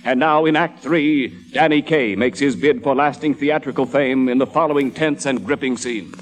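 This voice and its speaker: A man's voice: medium pitch, normal speed. An American man announcing and narrating in a scripted, mock-grand 1940s radio style.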